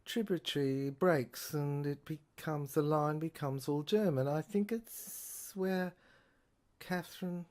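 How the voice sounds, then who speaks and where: A woman playing a rambling, sardonic lab technician who lectures haltingly in an audio drama, repeating and correcting herself and asking rhetorical questions. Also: low-pitched, a little mellow. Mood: musing, halting. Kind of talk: improvised-sounding lecture monologue in an audio drama.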